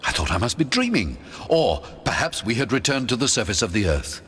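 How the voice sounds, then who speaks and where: A man narrating a first-person adventure audiobook in a theatrical, expressive storytelling manner. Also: mid-range voice, a little fast, a little hiss or hum. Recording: long hall reverb.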